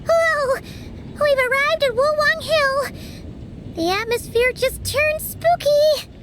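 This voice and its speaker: A woman voicing a tiny, cheerful, childlike fairy companion in a video game, squeaky and exclamatory. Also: takes it slowly. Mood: excited, surprised. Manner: exclamatory, refers to self in third person.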